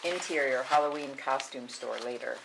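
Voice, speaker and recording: A woman's voice: rumbling low, moderate pace, gravelly, noisy background. A woman narrating a film script's stage directions at a table read.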